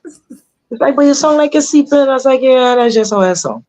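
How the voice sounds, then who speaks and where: Husky-voiced woman guest, animated and laughing. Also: high voice, normal speed. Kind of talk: casual chat.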